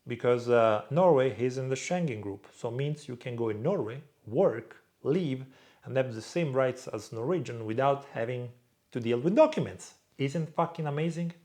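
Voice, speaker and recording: A young man from Croatia speaks in a relaxed vlog Q&A. He answers fans off the cuff, bluntly, and swears freely. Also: mid-range voice, normal speed. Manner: blunt, swears freely, reads viewer questions aloud in a louder voice. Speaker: vlog host answering viewer questions. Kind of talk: casual Q&A monologue.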